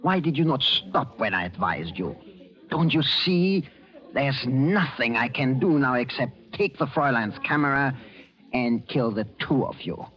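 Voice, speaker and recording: An actor playing a desperate, threatening fugitive Nazi general with a German accent in a 1940s radio drama. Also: male voice, mid-range voice, lively intonation, measured pace, lightly acted. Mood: menacing. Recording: muffled old radio broadcast.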